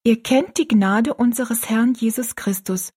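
A woman voicing an earnest, pious little girl who gently explains a Bible verse to a friend. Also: on the high side, measured pace, breathy edge, voice pushed up.

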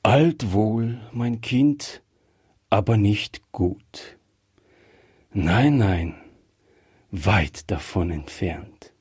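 Casting: elderly storybook shopkeeper played by a man, husky, slow-paced, guilt-ridden but kind, hesitant. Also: fairly low pitch, a little mellow, no room sound, clean studio sound, heavily acted. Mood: remorseful, quiet. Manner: measured, hesitant, muttering. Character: grey-headed old male shopkeeper, cautious, guilt-ridden, gruffly kind. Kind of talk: acted storybook dialogue.

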